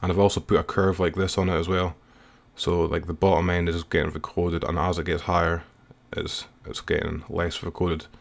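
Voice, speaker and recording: Male music producer, low register, informal and slightly hesitant, talking through a screen-recorded software tutorial. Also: level tone, moderate pace, vocal fry, few pauses. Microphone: voice-over recorded while working at computer.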